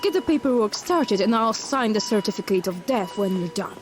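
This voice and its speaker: A woman's voice: mid-range voice, lively intonation, brisk, soft background music, close to an everyday voice. An actress playing a callous female doctor who speaks curtly and with detachment. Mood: curt cold. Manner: curt, detached.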